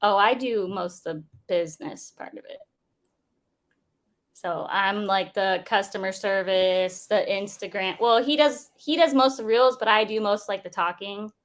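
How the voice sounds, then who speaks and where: A woman's voice: mid-range voice, a little slow. A woman being interviewed as a guest, talking casually and directly with a light joking manner. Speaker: snake breeder, guest.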